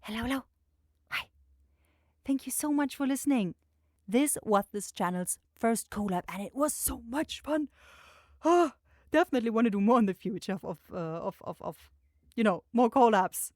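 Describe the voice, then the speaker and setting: A woman's voice: on the high side, lively intonation, moderate pace, a little mellow, dry recording, studio quality. A woman channel host giving a casual, rambling chat to her listeners, searching for words as she goes.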